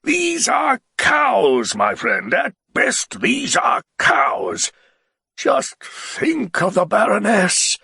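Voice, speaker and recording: A man voicing a moody, blustering middle-aged nobleman who draws out his words and sometimes shouts. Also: high-pitched, huge pitch swings, creaky, slightly rough, dry recording, studio quality, pitched above the performer's own voice, full character voice. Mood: scornful. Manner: loud, drawn-out, exclamatory delivery, sometimes shouting.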